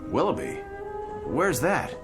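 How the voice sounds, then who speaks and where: An actor playing a friendly commuter-train bartender in a radio-style drama, with an easygoing, folksy, chummy way of talking. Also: male voice, on the high side, wildly swooping pitch, slow pace, exaggerated voice. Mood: friendly, curious. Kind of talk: dramatic radio-play acting. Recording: light music behind the voice.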